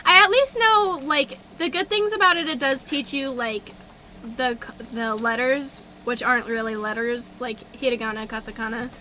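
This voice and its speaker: A young woman streamer chatting casually, excitable, laughing and tripping over her words. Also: high-pitched, moderate pace, a little hiss or hum. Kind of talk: casual chat on a livestream.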